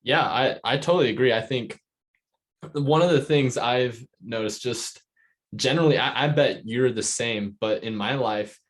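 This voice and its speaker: A man hosting a podcast in casual chat, informal and halting, leaning heavily on filler words. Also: medium pitch. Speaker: podcast host.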